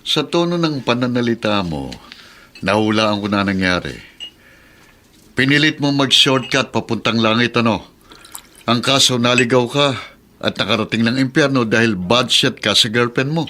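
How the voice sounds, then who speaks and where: A man's voice: mid-range voice, moderate pace, sharp tone, close to an everyday voice. A man playing a wry, knowing middle-aged uncle in a radio drama. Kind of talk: Tagalog radio drama acting.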